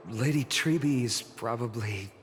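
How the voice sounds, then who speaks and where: A man voices a level-headed young adventurer from a fantasy game. He speaks slowly at a medium pitch, dry and questioning, in a reverberant hall. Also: slight background noise, close to an everyday voice. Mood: wry, questioning. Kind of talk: video game character acting. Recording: reverberant hall with light music.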